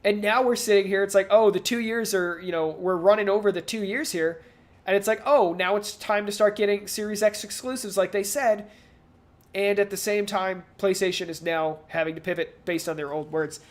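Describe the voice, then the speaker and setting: A man's voice: high voice, steady tone, normal speed. A man co-hosting a casual talk show about video games, thinking aloud in rambling analysis and slipping into mock quotes. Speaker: podcast co-host. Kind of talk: casual video game industry discussion.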